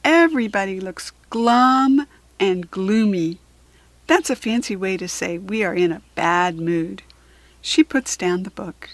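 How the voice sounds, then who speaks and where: An American woman reads aloud to children in a medium-pitched voice. Her pace is slow and deliberate, with expressive phrasing made easy to follow. The sound is dry, with little room. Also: no room sound. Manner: deliberate, expressive, clearly phrased for young learners. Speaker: children's book reader. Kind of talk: read-aloud storytelling for children.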